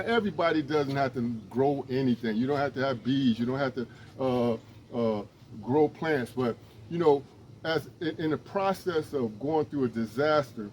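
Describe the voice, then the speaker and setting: A man's voice: medium pitch, darkish tone. A man talks straight to his viewers in a mid-range voice and asks them for help. He rambles, restarts and pleads, earnest and direct. A faint background noise sits behind him outdoors. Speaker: emergency management instructor. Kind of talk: casual direct-to-camera appeal.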